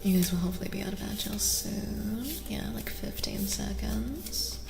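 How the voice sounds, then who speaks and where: A woman giving a soft-spoken ASMR tarot reading as a streamer, often slipping into whispers, soothing and reassuring as she slowly repeats phrases. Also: medium pitch, steady tone, vocal fry. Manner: soothing, reassuring, slow repetitions. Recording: reverberant echo with music behind. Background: music.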